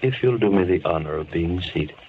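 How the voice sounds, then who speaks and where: An actor playing a strange, formal recluse who greets lost visitors in an old radio drama. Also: male voice, low-pitched, animated, a little hiss or hum. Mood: eerily courteous. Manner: slow, formal, courtly. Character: strange male recluse violinist, courtly and eerie. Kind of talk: 1930s radio drama acting. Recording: muffled old radio recording, distant mic.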